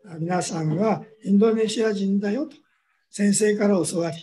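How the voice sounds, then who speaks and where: A man giving a measured academic lecture, pausing often on drawn-out fillers. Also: high voice, warm tone, a little hiss or hum. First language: Japanese.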